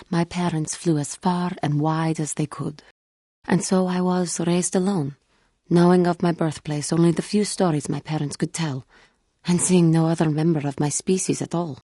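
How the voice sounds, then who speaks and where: Casting: female alien Jedi warrior for a game, earnest and wounded, low mellow voice with a husky grain, formal and measured. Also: no room sound, clean studio sound. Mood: bitter, grave. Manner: formal, measured, grave phrasing with few contractions. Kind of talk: video game character dialogue.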